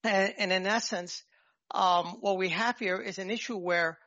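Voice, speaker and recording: A man's voice: high-pitched, steady tone, sharp tone, no room sound. Nervous New York man arguing, dull dry audio. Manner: halting, nervous, jumping between points. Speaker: petitioner arguing own appeal. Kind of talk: formal oral argument in court. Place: appellate courtroom. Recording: dull courtroom microphone, dry sound.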